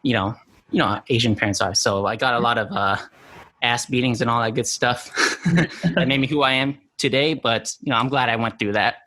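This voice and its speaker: Bright-voiced young guy, chatty. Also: medium pitch, steady tone, fairly quick. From New Mexico, USA. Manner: chatty, lots of fillers like "you know", easy laughs. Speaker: guest, content creator. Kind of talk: casual podcast interview.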